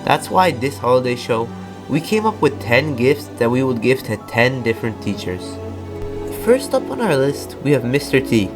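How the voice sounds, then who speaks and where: A man's voice: medium pitch, sing-song, normal speed. Young man narrating over music. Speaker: student presenter of a high school show. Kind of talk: scripted narration. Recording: music playing under the voice.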